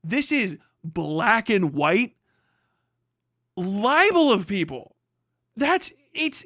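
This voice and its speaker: A man with a high, bright voice delivers an off-the-cuff commentary rant. He is indignant and profane, hammering points home through repetition. The sound is close and dry, like a streamer's microphone. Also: no echo. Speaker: livestream commentator. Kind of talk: unscripted reaction rant.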